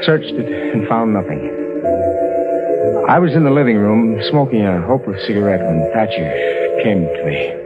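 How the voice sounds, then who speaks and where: A man's voice: fairly low pitch, sing-song, moderate pace. An actor playing a haunted, bewildered man narrating his own strange story, troubled and dramatic, in 1950s radio drama style. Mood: troubled, puzzled. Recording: dull vintage radio, loud music behind.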